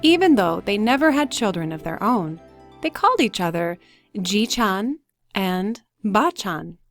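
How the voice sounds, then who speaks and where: Expressive woman reading a children's story. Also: mid-range voice, measured pace, soft background music. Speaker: read-aloud teacher.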